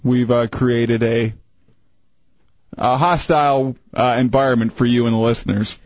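A man's voice: medium pitch, steady tone, measured pace, dry recording. Joking male radio co-host. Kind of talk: casual radio banter. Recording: dry lo-fi radio recording.

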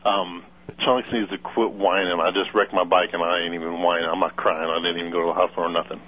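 A man's voice: sits low, little pitch movement, quick pace. A man calling in to a radio show, talking in a laid-back, drawling way. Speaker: caller. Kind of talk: casual phone-in chat. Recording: dull lo-fi call-in audio.

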